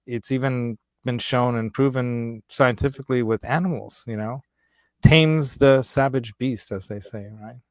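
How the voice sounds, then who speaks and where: Show host, man, faint Hungarian accent, rambling chatty delivery, quick to laugh, dry close sound. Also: medium pitch, dry recording. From United States. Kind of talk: casual chat.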